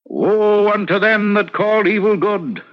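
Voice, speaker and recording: An actor playing a pious, solemn clergyman friend in an old radio drama, measured, probing and preacherly. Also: sits high. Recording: muffled old radio broadcast.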